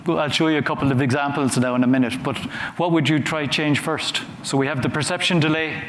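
A man giving a conference talk from a stage, conversational and halting, often repeating words as he goes. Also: fairly high pitch, steady tone, fairly bright tone. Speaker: conference speaker. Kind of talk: conference talk with audience questions. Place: large conference hall on a stage. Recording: roomy hall sound with faint noise.

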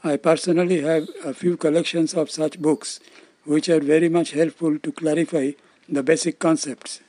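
An older man, around his seventies, with an Indian accent, giving scripted explanatory narration in formal, measured phrasing. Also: fairly high pitch, little pitch movement, dark tone. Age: older, around 70s. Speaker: presenter of loudspeaker-making videos.

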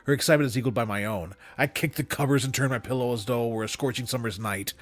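A young man reading a literary story aloud in an expressive storytelling style, close to a desk microphone. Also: medium pitch, very fast. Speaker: reader. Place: small room at home, indoors.

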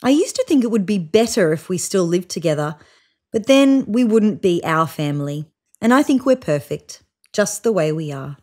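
A woman in her thirties reading a children's picture book aloud in an expressive, child-directed way. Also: medium pitch, moderate pace. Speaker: storybook reader. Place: small room indoors, seated on a couch.

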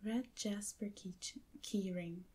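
Slow, breathy half-whispering woman, non-native English. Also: mid-range voice, steady tone, background noise. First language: not English. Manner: gentle, hushed, unhurried. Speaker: ASMR video creator. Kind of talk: soft-spoken ASMR unboxing.

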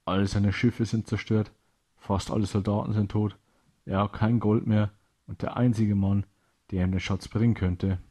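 A man tells a children's story in a lowish voice. His tone is dark and soft-edged, with some huskiness. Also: little pitch movement, moderate pace.

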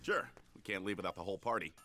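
An actor playing a cocky young starfighter pilot who teases his friends in a fast, bouncy way. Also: deep voice, expressive, sharp tone, a little hiss or hum, heavily acted. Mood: wry. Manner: quick, teasing.

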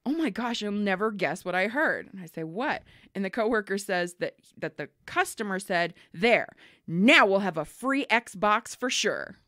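Animated woman telling call-center stories. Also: mid-range voice, normal speed, dry recording, studio quality. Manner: animated storyteller, acts out callers and swears freely. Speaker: podcast co-host. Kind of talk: casual storytelling chat.

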